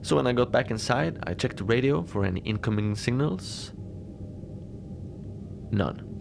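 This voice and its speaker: A man's voice: medium pitch, expressive, normal speed, vocal fry, noisy background. A man playing an unflappable lone facility manager at an Antarctic station, rambling casually and wryly through a cozy horror audio diary. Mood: calm, wry. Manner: casual rambling, wry understatement, small chuckles. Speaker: host and narrator of a fiction podcast.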